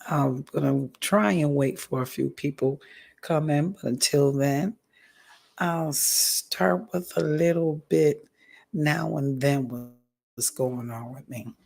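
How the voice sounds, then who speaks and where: A woman with a deeply raspy, gravelly voice talks slowly and haltingly, pausing often to clear her throat. Her voice is husky and strained from hoarseness as she gives a candid personal update to her audience. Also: darkish tone. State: hoarse, recovering from a stroke. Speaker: vlogger. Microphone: audio only, home recording.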